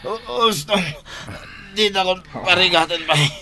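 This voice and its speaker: A man's voice: high-pitched, measured pace, a crowd in the background. A man with an Ilocano accent acting a captured henchman who pleads for his life in a radio drama. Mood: pleading. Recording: dramatic music behind the voice.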